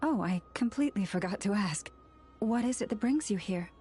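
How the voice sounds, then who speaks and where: Over soft background music, an actress plays a kind, devout young woman of a fantasy video game. Her voice sits at a medium pitch. She speaks formally and with composure, with a light playful kindness. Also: lively intonation, normal speed, lightly acted. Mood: gently playful. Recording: music behind the voice.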